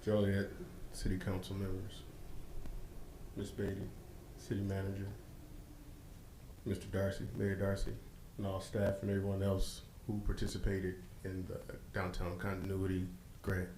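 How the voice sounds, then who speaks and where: A man giving brief, steady public thanks to a city council. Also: low voice, normal speed, slight background noise. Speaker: public commenter. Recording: echoey chamber.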